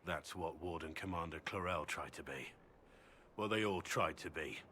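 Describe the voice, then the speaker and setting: A man's voice: deep voice, moderate pace, very noisy, natural voice. An actor playing an earnest male Grey Warden speaking in a reflective, solemn way.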